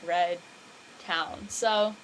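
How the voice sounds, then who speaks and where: A woman's voice: on the low side, fairly even intonation, extremely slow, rough recording. A woman chatting casually in a panel discussion among performers. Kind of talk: casual panel discussion of a live-read show. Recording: noticeable background noise and room ambience.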